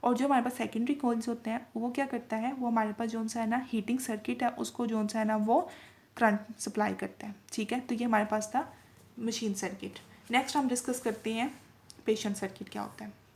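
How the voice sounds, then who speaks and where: High-voiced woman giving a lecture. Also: fairly even intonation, a little fast, vocal fry, a little mellow. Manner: repetitive, with frequent check-in tags; switches between Urdu-Hindi and English technical terms. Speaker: lecturer in physiotherapy video lessons. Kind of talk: informal explanatory lecture.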